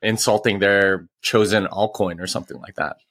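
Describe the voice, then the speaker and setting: Korean-born man in his forties, guest on a talk podcast: low, bright-edged voice, dry close sound, thoughtful pacing with restarts. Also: fairly even intonation, dry recording. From South Korea. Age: 40s. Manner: reflective, pausing with frequent restarts. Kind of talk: conversational interview.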